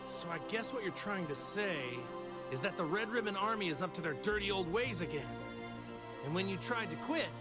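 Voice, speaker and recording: Casting: plain-spoken martial artist for anime game, sensible, animated intonation, music loud underneath. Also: male voice, high-pitched, moderate pace. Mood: wry, serious. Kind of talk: dubbed anime video game acting.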